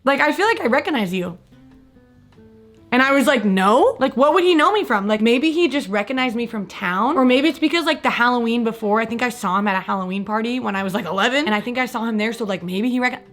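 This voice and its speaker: A woman's voice: a little high, expressive, quick pace, fairly bright tone, faint music behind. A young woman telling a story in a casual vlog, chatty and self-mocking, her voice a little strained from a sore throat. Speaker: vlogger. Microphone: switches between a mic and the camera mic.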